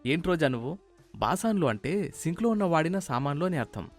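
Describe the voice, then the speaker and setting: A man's voice: on the high side, sing-song, quick pace, faint music behind. A man voicing an easygoing young husband who explains and placates in a story cartoon. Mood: patient, explaining. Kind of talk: Telugu moral story cartoon dialogue.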